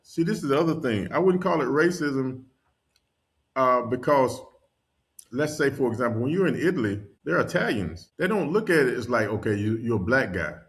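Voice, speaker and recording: Male podcast guest from North Carolina: chatty world traveler, animated storyteller, mid-range voice, laughs easily. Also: mid-range voice, moderate pace. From North Carolina, USA. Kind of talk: casual conversation.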